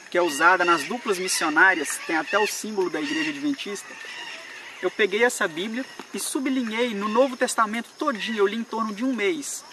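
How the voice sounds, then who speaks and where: A young man recounts his religious journey in an earnest, unhurried way, pausing and restarting often. His voice sits high and sounds bright. He is recorded outdoors, somewhat distant from the mic, with faint rural noise behind him. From Brazil, born in Minas Gerais, raised in Espírito Santo. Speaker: church member giving a testimony. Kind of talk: personal religious testimony to camera.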